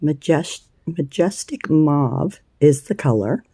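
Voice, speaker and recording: A woman with a scratchy voice hosting a casual crochet tutorial, chatty and unhurried, with plenty of ums and uhs. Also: deep voice, animated, warm tone, breathy edge, dry recording, clean studio sound. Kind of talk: casual hands-on craft tutorial.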